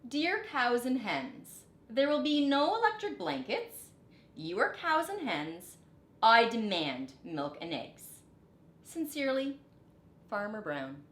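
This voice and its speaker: Woman putting on an exasperated, loud old farmer man. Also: fairly high pitch, very animated, slow, pitched above the performer's own voice, exaggerated voice. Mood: stern, demanding. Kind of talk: picture-book read-aloud. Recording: roomy echo, background noise.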